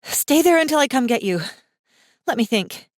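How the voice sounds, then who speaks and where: A woman's voice: high voice, animated, moderate pace, no echo, clean studio sound, voice pushed up. A woman voicing a flustered, blunt young college woman in a dramatized audiobook. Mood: blurting, flustered. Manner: blurting, incredulous, profane. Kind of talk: dramatized audiobook character acting.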